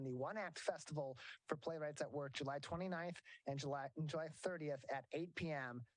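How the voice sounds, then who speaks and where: A man's voice: a little high, no room sound, low-quality recording. A man hosting a broadcast interview, speaking in a wordy way and repeating details for listeners. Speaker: interview host.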